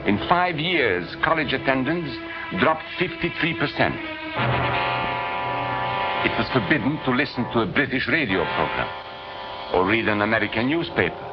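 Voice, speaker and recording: A man playing a grave professor, narrating with measured emphasis in a 1940s educational film. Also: medium pitch, sing-song, close to an everyday voice. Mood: solemn, indignant. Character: grave male professor recounting the rise of Nazism. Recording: dull 1940s film soundtrack. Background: music.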